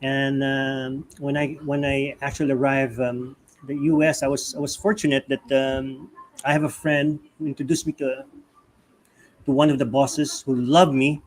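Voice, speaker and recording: A man's voice: medium pitch, moderate pace, low-quality recording. A man from the Philippines with a Filipino accent, telling personal stories conversationally as an interview guest, often chuckling. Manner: frequent chuckling, animated retelling with acted-out quotes.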